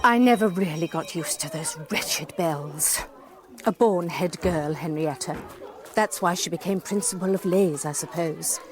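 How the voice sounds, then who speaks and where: A woman's voice: lowish voice, close to an everyday voice. Wry, confiding British woman played by an actress. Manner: chatty, dryly humorous, confiding. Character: wry, self-deprecating lady psychologist, former French teacher. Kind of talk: radio drama first-person narration.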